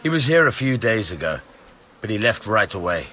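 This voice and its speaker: A man's voice: lowish voice, very animated, brisk, pushed and bright, slight background noise. An actor playing a plain-spoken village tanner in a video game, a guarded father with rustic speech. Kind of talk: video game cutscene acting.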